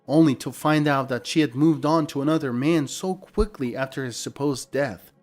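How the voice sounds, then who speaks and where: A man reading a scripted dramatic story as narrator. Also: medium pitch.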